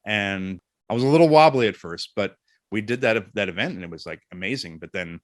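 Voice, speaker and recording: A middle-aged man chatting casually as an interview guest, telling jokey anecdotes in an animated way. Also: on the low side, a little fast, dry recording, clean studio sound.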